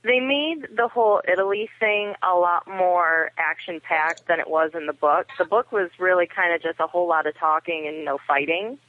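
A man's voice: high voice, steady tone, moderate pace, few pauses. A man chatting casually as a podcast guest, reviewing in everyday conversational language. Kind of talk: casual chat, film review. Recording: dry, tinny phone line.

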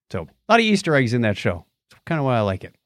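A man talks casually as a podcast co-host, eagerly laying out details like a keen geek. His intonation moves around a lot at a middling pitch. The sound is dry, with very little room in it. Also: huge pitch swings, fairly quick, no room sound.

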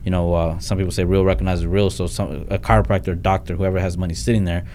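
A young man with a very low voice, talking quickly and bluntly as a guest in a casual interview. His pitch stays flat and level, and he restarts sentences and tosses in "like" and "man" as he goes. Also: a little hiss or hum. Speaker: real estate investor guest. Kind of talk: casual podcast interview talk.

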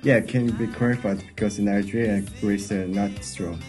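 Guy from south korea, fan, low-ish voice, lots of uhs, music faint behind. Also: fairly even intonation, normal speed, darkish tone. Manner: hesitant answers with many uhs. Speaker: football fan interviewee.

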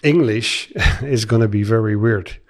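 A man's voice: lowish voice, unhurried. A man from the southern Netherlands, a Limburgish native speaker, chatting easily in English with a Dutch accent as a podcast guest. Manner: easygoing, loosely phrased non-native English. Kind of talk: casual chat. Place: chocolate factory.

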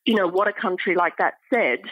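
A middle-aged woman speaking as an academic interview guest, explaining things in an articulate, measured way. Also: fairly low pitch, fairly bright tone, no echo, poor audio. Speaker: interview guest, archaeology professor. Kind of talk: thoughtful academic interview talk.

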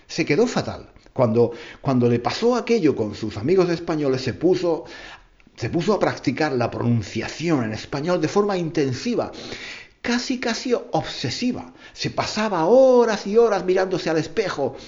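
A man's voice: on the high side, big pitch swings, normal speed, a little mellow, muffled recording. A man hosting a podcast for language learners, telling a tall tale playfully and repeating phrases for emphasis. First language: Spanish. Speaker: podcast host. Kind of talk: storytelling to learners.

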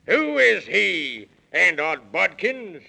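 Blustering, bright-voiced British walrus gentleman. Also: high-pitched, very animated, takes it slowly, gravelly, exaggerated voice. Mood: curious, blustery. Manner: pompous, exclamatory, speaking in rhymes. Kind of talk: 1930s children's radio acting. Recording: vintage 1930s radio recording.

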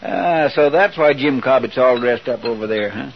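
An actor playing a folksy, good-natured frontier sidekick with a rural American accent in a radio Western. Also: male voice, medium pitch, lively intonation, normal speed, natural voice. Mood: curious, amiable. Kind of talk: 1950s radio Western acting. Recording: noisy old 1950s radio recording.

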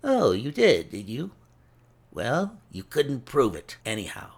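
A man's voice: mid-range voice, huge pitch swings, takes it slowly, gravelly, slightly rough, voice pushed up, full character voice. A man voicing a gloating, cruel villain in an audiobook story, taunting with smug mock politeness. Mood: sneering menace.

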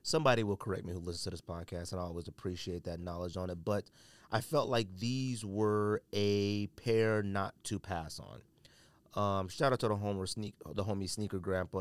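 Casting: American male podcast host, lowish voice, informal slangy solo chat, dry close sound. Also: smooth, no echo. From California, United States. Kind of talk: casual solo podcast chat about sneakers.

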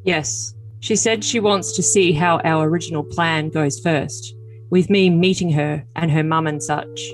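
A woman's voice: deep voice, unhurried, low-quality recording. A woman voicing an earnest, defensive, lovesick young man in a dramatic reading of text messages. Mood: earnest, hesitant. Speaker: podcast cast reader.

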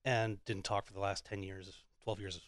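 A middle-aged man raised in Canada, a guest telling stories about his life with quick restarts. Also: low voice, little pitch movement, fairly bright tone, no echo, clean studio sound. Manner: talkative, storytelling, quick stammered restarts. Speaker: podcast guest, theater technician. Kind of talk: casual interview chat.

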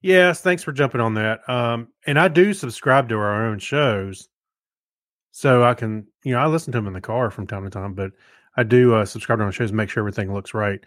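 Halting man chatting on a podcast. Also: medium pitch, a bit bright, dry recording, clean studio sound. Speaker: podcast co-host. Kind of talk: casual podcast chat, reading listener feedback.

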